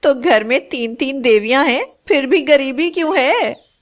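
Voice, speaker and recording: A woman's voice: high-pitched, a little slow. A woman playing a rich farmer's greedy wife, mocking, complaining and smug, in a moral story cartoon. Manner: complaining, gloating.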